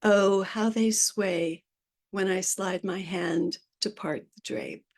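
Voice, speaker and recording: Slow, measured woman reading poems. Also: medium pitch, vocal fry. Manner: slow, measured poetry delivery with hesitant asides. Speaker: poet reading her work. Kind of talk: live poetry reading.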